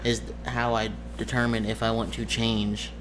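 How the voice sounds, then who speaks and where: A man talking as game master, explaining a tabletop game scenario casually in a halting, self-correcting way. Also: lowish voice, monotone, background noise.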